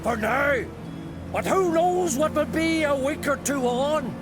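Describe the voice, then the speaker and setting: A man's voice: very high voice, lively intonation, slow pace, exaggerated voice. A man playing a stern, indignant druid elder in a video game, speaking in a formal, theatrical way. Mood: scornful. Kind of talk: video game cutscene acting. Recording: music under the voice.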